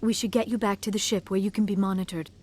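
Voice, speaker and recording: Woman acting as dutiful female squadmate, concerned, medium pitch, video game dialogue. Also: steady tone, fast, darkish tone, close to an everyday voice.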